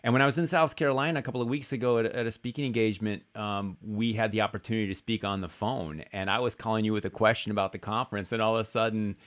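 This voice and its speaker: Low-voiced male podcast host. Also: fairly even intonation, rapid-fire, few pauses. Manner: conversational and warm, with many fillers. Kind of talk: podcast interview.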